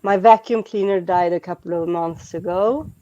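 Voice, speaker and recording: A woman's voice: lowish voice, moderate pace, warm tone, dull audio. A Swedish woman chatting casually in English as a second language, hesitant with many restarts and fillers. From Sweden. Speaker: guest. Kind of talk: casual chat.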